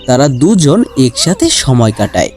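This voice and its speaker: A man's voice: mid-range voice, very animated, normal speed, a little mellow. Male storyteller with music behind. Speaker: narrator. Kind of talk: scripted fairy tale narration.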